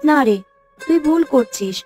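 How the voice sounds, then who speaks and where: A woman's voice: sits high, expressive, a little slow, a little mellow, soft background music. A woman playing an earnest, thoughtful young village boy in a cartoon fairy tale. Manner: explanatory, earnest.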